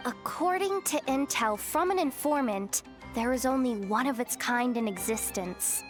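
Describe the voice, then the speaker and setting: A woman playing a level-headed, pragmatic young adventurer in a video game. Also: on the high side. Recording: game music behind.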